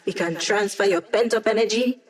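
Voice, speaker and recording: A woman's voice: mid-range voice, fairly quick, natural voice. An actress voicing a cocky, playful young female hacker who guides a squad in a video game, with a teasing, breezy manner. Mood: wry, upbeat. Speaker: hacker and mission support. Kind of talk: video game character voice lines.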